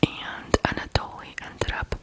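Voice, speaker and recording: A person from Ukraine telling stories in whispered ASMR style, softly and deliberately, pausing between short phrases. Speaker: ASMR true-crime host.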